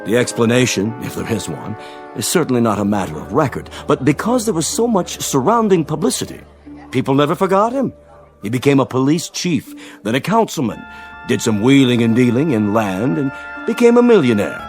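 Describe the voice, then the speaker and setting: Smug, animated wealthy clubman. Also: mid-range voice, brisk, faint music behind. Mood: smug, breezy. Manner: self-satisfied, leisurely, polished. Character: smug, urbane, very rich inherited-money clubman. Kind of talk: radio drama acting.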